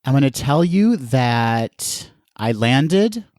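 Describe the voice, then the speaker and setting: A man's voice: mid-range voice, very animated, takes it slowly, a little mellow, no echo, studio quality. A man co-hosting a casual podcast chat, sounding nasal and stuffed up from allergies, with a playful, jokey manner. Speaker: podcast co-host.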